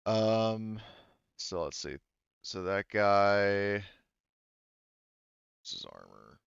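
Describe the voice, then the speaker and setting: A man's voice: fairly low pitch, lively intonation, extremely slow, creaky, clear, resonant tone, muffled recording. A man acting as game master, casually explaining rules and narrating a tabletop game step by step.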